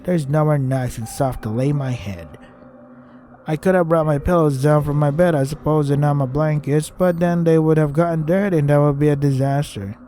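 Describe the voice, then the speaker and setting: Male reader as visual novel princess, airy, prim and callous, mellow tone, faint background noise. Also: a little high, moderate pace, close to an everyday voice. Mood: airy, spoiled. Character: flippant young princess, airily cruel and spoiled.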